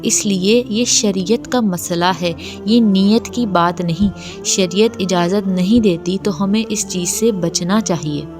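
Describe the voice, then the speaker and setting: A woman speaks as a religious lecturer in a fairly low voice. She explains and admonishes in a didactic way, with music clearly playing behind her. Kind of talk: religious explainer talk to camera or microphone. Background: background music.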